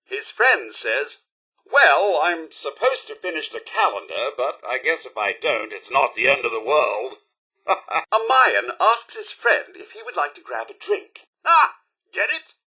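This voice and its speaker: An actor playing a prim, easily affronted British robot butler in a video game, speaking in formal, theatrical, wordy phrases with a raspy edge. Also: male voice, on the high side, sing-song, a little slow, pushed and bright, exaggerated voice. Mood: jaunty, joking. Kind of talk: video game companion dialogue.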